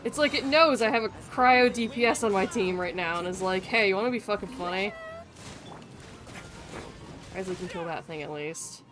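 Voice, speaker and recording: A game streamer with a feminine-sounding, mid-range voice. The delivery is casual, expressive and playful, with plenty of swearing. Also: mid-range voice, moderate pace, pauses often, soft background music. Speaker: livestreamer. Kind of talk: casual gaming commentary.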